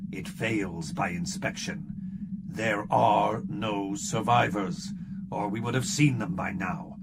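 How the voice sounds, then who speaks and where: A man's voice: on the low side, creaky. A man voicing a stern, coldly logical male shapeshifter security chief who lectures in clipped deductions. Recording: loud background noise.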